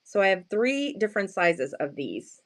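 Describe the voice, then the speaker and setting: Slightly hoarse woman, casual live-sale chat. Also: medium pitch, big pitch swings, moderate pace. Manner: self-deprecating, playful slangy wording; chatty, greets and thanks viewers often. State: just woken up, sleepy.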